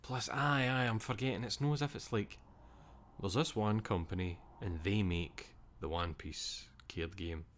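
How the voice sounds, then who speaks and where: Deep-voiced Scottish man chatting casually. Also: measured pace, a bit bright. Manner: rambling, swears casually, reads chat aloud. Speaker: streamer. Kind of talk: casual stream chat.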